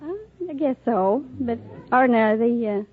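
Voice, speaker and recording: A woman's voice: fairly high pitch, normal speed, crowd noise. A woman in her twenties from Scotland, answering briefly and hesitantly as a radio quiz contestant. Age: 20s. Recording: dull old radio broadcast, audience, faint noise.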